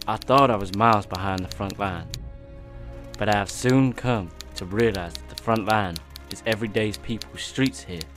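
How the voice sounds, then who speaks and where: An actor playing a polite, earnest young American newspaper correspondent in a wartime radio drama. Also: male voice, lowish voice, lightly acted. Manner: polite, hesitant. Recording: faint music behind the voice.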